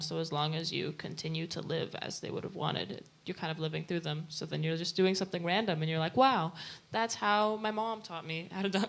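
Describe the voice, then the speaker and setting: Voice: mid-range voice, creaky, fairly bright tone. An audience member thinking aloud in a rambling way, full of "like" and half-finished thoughts.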